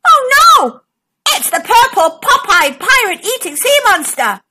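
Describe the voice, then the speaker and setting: A woman voicing a bold, excitable male pirate captain in a children's book, with emphatic commands. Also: extremely high pitch, a little slow, very noisy, voice pushed up, heavily acted. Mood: alarmed.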